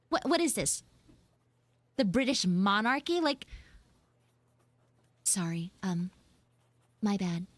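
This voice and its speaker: A sassy tsundere frog girl of high school age, voiced by a woman chats in a live roleplay. Her voice sits fairly high and swings widely in pitch as she rambles bluntly and swears. The sound is dry and close, with little room to it. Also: no room sound, heavily acted. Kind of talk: improvised live audio roleplay, casual chatty monologue.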